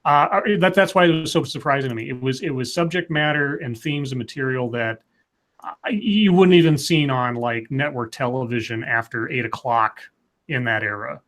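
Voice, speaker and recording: A man in casual discussion on a comics show, emphatic and halting as he thinks aloud. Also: medium pitch, fairly bright tone. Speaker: panelist on a comics livestream.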